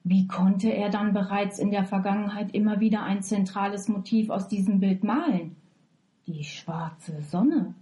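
A woman's voice: mid-range voice, unhurried, dark tone. A woman presenting a podcast episode synopsis in scripted narration.

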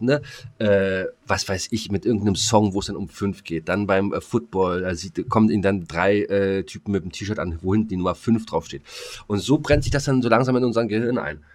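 A man with a fairly low voice talks as a podcast co-host. His style is casual banter. He hesitates often, feeling around for the next word.

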